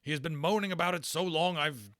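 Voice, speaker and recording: A man playing a gruff, blustering captain who grumbles in clipped phrases, in a storybook reading. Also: a little high, a little fast, a bit bright, no echo, studio quality, voice pushed up, close to an everyday voice. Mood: grumbling. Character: gruff, grumbling, secretly fond male dragon captain.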